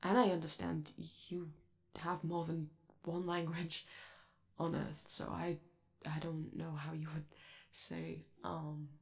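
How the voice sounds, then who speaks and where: Casting: woman to play sweet, fidgety robot crewmate for ASMR comfort audio; slightly low, gravelly fry, shy rambling manner. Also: level tone, slow, heavily acted. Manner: hesitant, rambling, fidgety, reassuring. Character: friendly, nervous, chatty robot alien crewmate, gentle and awkward. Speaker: ASMR roleplay performer. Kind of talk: ASMR comfort roleplay, conversational.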